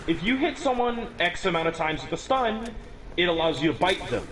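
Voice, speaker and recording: A man's voice: high voice, very animated, fairly quick, background noise. Rambling teenage boy on a voice call. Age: teens. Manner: rambling, restarts often, chuckles at own remarks. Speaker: guest explaining a game build. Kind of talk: casual gaming chat.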